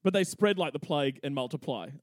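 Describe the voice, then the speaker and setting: A man's voice: fairly high pitch, expressive, fast talker, no echo, clean studio sound. A young man hosting a podcast, telling history in a cheeky, irreverent way and laughing often. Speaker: podcast host. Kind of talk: comedic history storytelling.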